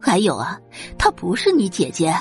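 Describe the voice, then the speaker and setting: A woman's voice: lowish voice, wildly swooping pitch, darkish tone, faint music behind. A woman playing a scornful middle-aged rich mother who dotes on her daughter, haughty and dismissive, in an audio drama. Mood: firm, scornful. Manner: haughty, dismissive, drawn-out sighs and snorts.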